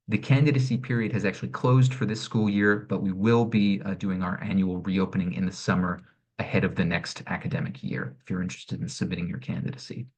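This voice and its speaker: Low-voiced man presenting an online session. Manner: measured, explanatory, frequent "um" and "sort of". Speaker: director of programming presenting an arts network. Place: home or office, online session. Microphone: computer mic on a video call.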